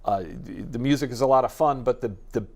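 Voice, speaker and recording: A man's voice: medium pitch, fast, a little mellow. A man speaking as a music expert guest in an informative, enthusiastic interview, explaining things at length in a discursive way. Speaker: radio jazz expert guest.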